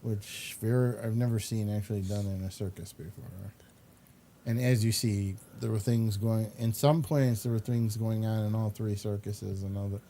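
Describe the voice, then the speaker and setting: A man hosting a show, chatting casually and haltingly as he rambles through commentary on video clips. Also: lowish voice, fairly even intonation, warm tone, slight background noise. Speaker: show host.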